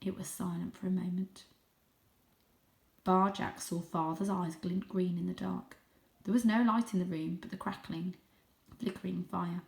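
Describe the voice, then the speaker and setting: A woman reading a children's story aloud with expressive narration, recorded in an echoey room. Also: fairly low pitch, a little slow, creaky, long pauses, distant mic. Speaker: storybook reader.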